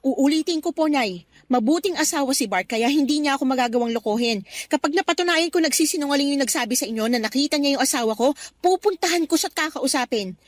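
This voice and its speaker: Actress as devoted, defensive wife, high voice, earnest radio drama delivery. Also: female voice, animated, fast talker, no room sound, clean studio sound. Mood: firm. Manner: pleading, earnest. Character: loyal middle-aged wife and daughter, trusting, defensive. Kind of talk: Tagalog radio drama acting.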